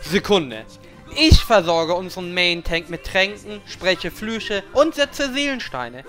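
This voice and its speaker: A man's voice: sits high, animated, slow pace, sharp tone, faint music behind, heavily acted. A man playing a bossy, snotty warlock gamer in a fantasy parody audio drama.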